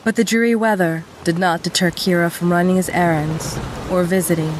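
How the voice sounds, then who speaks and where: A woman's voice: lowish voice, brisk, heavy background noise. A woman giving a scripted story narration for an audio drama. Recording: steady rain ambience behind. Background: rain.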